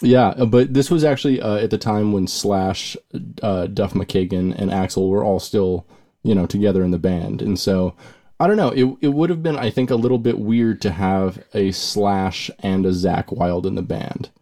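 Guy with a lowish voice chatting about albums, lots of ums, does goofy quote voices. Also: normal speed, dark tone. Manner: rambling with fillers, mock-quotes in silly voices. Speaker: podcast co-host. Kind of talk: casual music chat.

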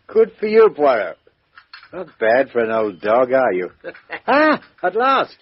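A hearty police chief inspector. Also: male voice, mid-range voice, slow, breathy edge, full character voice. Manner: hearty, lively. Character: gruff good-humoured middle-aged male police chief inspector. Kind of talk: 1950s radio drama acting. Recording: dull lo-fi 1950s radio recording.

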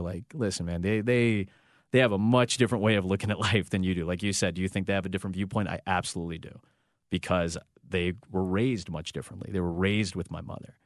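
A man's voice: low-pitched, dry recording, studio quality. A man in his thirties, a sports broadcaster, telling a personal family story in a reflective, heartfelt way with frequent restarts. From United States. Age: 30s.

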